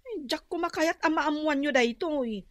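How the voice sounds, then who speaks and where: High, sharp, exasperated wife. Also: female voice, moderate pace, no room sound, clean studio sound. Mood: plaintive, worried. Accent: Ilocano. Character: exasperated, worried wife and mother, nagging and plaintive. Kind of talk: Ilocano radio drama acting.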